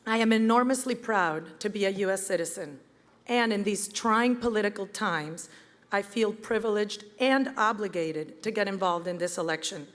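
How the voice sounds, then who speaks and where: A woman, giving a prepared campaign speech in an earnest, measured way. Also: mid-range voice, a bit bright. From Venezuela. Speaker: campaign event speaker. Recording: reverberant hall with crowd.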